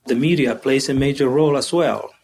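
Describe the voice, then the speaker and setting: A man who directs refugee services, answering interview questions in a halting way with many fillers and restarts. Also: medium pitch, gravelly, a little mellow, slightly rough.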